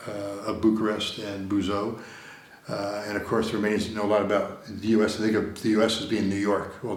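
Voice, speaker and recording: A man's voice: fairly low pitch, echoey room. A middle-aged American man, an interview guest, talking in a casual, rambling way with repeated words and many fillers. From United States. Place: recording studio room.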